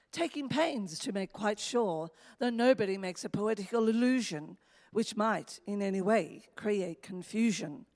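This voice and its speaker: A woman plays a fussy explainer in a rhymed radio play. The mid-pitched voice is didactic and confiding, with the reverb of a hall around it. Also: a little mellow. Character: fussy, pedantic interrupting explainer of words.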